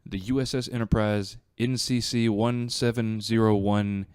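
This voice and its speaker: A man's voice: lowish voice, steady tone, a little slow, a little mellow, clear, resonant tone, dry recording, studio quality. A man speaking casually as a guest on a podcast.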